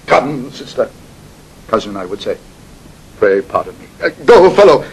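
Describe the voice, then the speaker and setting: A husky, careworn old duke. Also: male voice, medium pitch, big pitch swings, normal speed, darkish tone, full character voice, rough recording. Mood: distraught, bewildered. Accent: British. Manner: muttering, hesitant, breaking off in fluster. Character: careworn, aged royal duke, flustered and bewildered. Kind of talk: classical Shakespearean radio acting.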